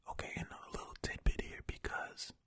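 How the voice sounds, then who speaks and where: An ASMR host whispering stories and casual, musing commentary in a breathy, unvoiced voice, close to the microphone. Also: bright, forceful tone, dry recording. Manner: unhurried, musing, informal. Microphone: close ear-to-ear ASMR microphone.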